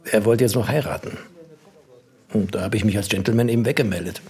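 A man's voice: fairly low pitch, fast talker, a little mellow, husky. An actor playing a wry, bookish refugee who narrates his journey tersely and sardonically in a literary radio play.

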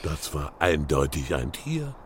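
A man's voice: a bass voice, very animated, unhurried, slight background noise, full character voice. A man playing a gloomy old Russian Father Frost in a children's audio play, in a ponderous manner.

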